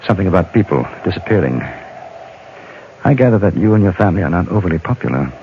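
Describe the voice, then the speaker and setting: A frontier gentleman of the Old West, with a very deep, gravelly voice. He is steady and guarded, speaking in measured, terse phrases in 1950s radio western style. The recording is a muffled, noisy old radio broadcast. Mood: probing. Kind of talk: 1950s radio western acting, first-person narration and dialogue.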